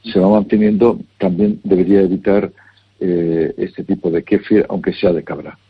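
A man giving health advice, measured and instructive, carefully repeating the names of remedies. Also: deep voice, steady tone, slightly rough, lo-fi sound. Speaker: health advisor. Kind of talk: advisory call-in consultation.

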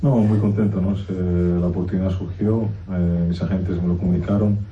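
A man in his thirties from Spain answering at a press conference, halting and searching for words. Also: sits low, little pitch movement, a little hiss or hum. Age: 30s. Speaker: footballer. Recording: phone-like, distant, roomy.

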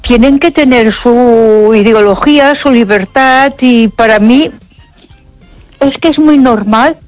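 A woman's voice: a little high, slow pace, dry recording, soft background music, rough recording. An older woman from Barcelona calling a radio show, talking in an emphatic, repetitive way with frequent exclamations. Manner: emphatic, repeats herself, frequent ay interjections. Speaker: radio caller. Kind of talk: casual phone-in chat.

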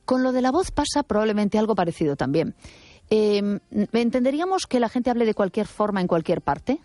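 A woman's voice: mid-range voice, big pitch swings, brisk, warm tone, no echo. This is a woman who talks in an assertive, opinionated way. She argues her points firmly and corrects the other speaker. Her voice is recorded very dry, with no room sound. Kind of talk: opinionated radio talk.

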